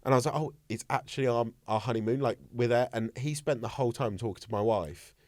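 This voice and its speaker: A man's voice: medium pitch, quick pace, no echo. A young man hosting a podcast, chatting casually and joking around with silly impressions. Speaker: podcast host. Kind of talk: casual chat.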